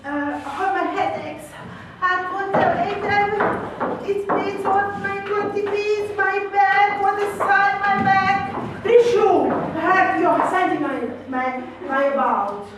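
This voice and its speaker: A tired old nurse who grumbles and stalls, played by a woman. She speaks in a very high voice and drags out every line. Her voice carries from far off through a heavily echoing room. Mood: complaining. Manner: drawn-out, grumbling, evasive. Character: weary, rambling old nurse, complaining. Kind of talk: amateur stage performance of a classic play. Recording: echoey, distant, noisy room.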